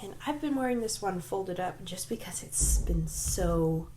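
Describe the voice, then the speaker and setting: A woman's voice: fairly low pitch, expressive, measured pace, mellow, a little hiss or hum, rough recording. Stuffy-nosed woman crafting vlogger. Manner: chatty and self-deprecating, many ums and likes. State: stuffy, slightly nasal from a cold. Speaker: crafting podcast host. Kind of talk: casual crafting vlog chat. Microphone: desk microphone.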